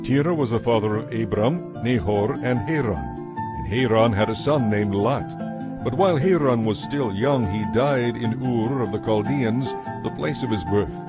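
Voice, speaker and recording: A man giving a measured, solemn scripted narration of a dramatized Bible. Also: mid-range voice, animated. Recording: narration over a music bed.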